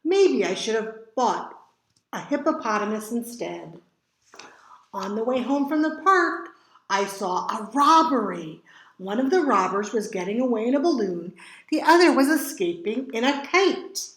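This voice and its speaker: A woman reading picture books aloud to children as a storytime reader, with dramatic emphasis and drawn-out words. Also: a little high, very animated, roomy sound, low-quality recording. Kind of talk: expressive picture book read-aloud for children.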